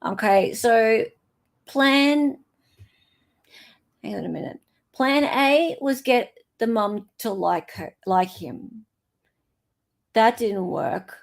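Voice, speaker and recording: A woman's voice: on the high side, expressive, slow pace, creaky, pauses often. A woman hosting a casual commentary, thinking aloud in an informal, flippant way. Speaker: host commentator.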